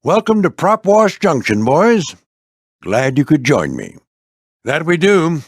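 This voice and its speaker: An old veteran warplane for an animated video game. He is a gruff but fatherly squadron mentor whose pitch moves up and down freely. His talk is folksy with clipped military turns, and the recording is dry and close. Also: takes it slowly, no echo, heavily acted. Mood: encouraging, folksy. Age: older.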